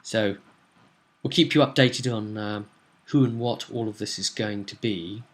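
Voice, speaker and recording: A young man casually recapping a tabletop game as its game master, with a theatrical, animated storytelling manner. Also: on the low side, unhurried. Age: young adult. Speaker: game master and vlog host. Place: small room at home, indoors.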